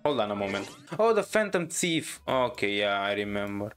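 A gamer giving casual gameplay commentary in an offhand, slangy, dry manner, with a very low voice for a woman. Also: sing-song, moderate pace, fairly bright tone. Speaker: gaming video creator. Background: game music.

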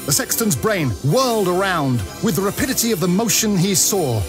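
A man's voice: high voice, lively intonation, normal speed, music in the background. A man, narrating a story in a dramatic storybook style.

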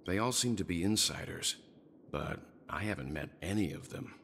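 An actor playing a calm, courteous older man in a video game, speaking in a measured, formal way. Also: deep voice, slight background noise. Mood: calm, pensive. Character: calm, grave, courteous older male traveler. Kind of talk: voiced video game dialogue. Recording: game audio under music.

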